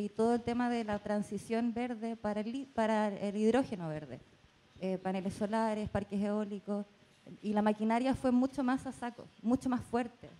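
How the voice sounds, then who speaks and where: A woman's voice: fairly high pitch, mellow, low-quality recording. A woman from Chile with a Chilean accent puts a spontaneous question to a panel from the audience. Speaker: audience member asking a question, researcher. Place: audience in indoor hall. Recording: faint room noise.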